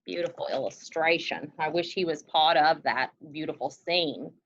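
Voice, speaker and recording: A woman's voice: low-pitched, normal speed, muffled recording. A woman reading a picture book expressively and chatting about it as she goes. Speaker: story reader, co-host.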